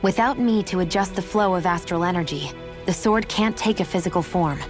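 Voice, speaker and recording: Actress as a cool, low-voiced determined young woman. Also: a little fast, over music, close to an everyday voice. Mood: curt, resolute. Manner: matter-of-fact, curt. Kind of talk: video game cutscene acting.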